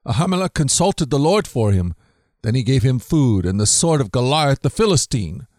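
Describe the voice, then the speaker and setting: A treacherous male servant reports to the king in a flat, matter-of-fact way, as a man reading scripture voices him. The voice sits at a middling pitch, and the sound is close and dry. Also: moderate pace, dry recording, close to an everyday voice. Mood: informing.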